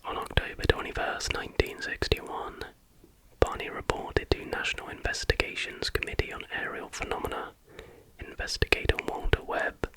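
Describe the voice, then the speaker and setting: A man whispering in a breathy, hushed voice, reading aloud informally in an ASMR style. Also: normal speed, poor audio. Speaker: ASMR host. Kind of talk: whispered ASMR reading of stories with casual asides.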